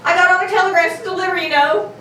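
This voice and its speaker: Woman doing a young messenger boy, very high voice, quick and hurried, echoey room, comedic radio play. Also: fairly even intonation, bright, forceful tone. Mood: hurried, pleading. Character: breezy, hurried young telegraph delivery boy. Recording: very echoey room, distant mic, faint noise.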